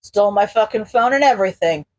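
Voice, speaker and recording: A woman voicing a gruff, weary male hero in an audiobook, with a curt, sweary, grumbling way of talking. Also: mid-range voice, animated, fast talker, darkish tone, no echo, lightly acted, rough recording. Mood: teasing. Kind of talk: audiobook character voice.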